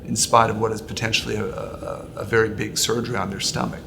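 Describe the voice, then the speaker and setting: Male surgeon, interview explanation. Gravelly, flat intonation, recorded with clear ambient noise. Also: fairly low pitch, quick pace. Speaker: bariatric surgeon.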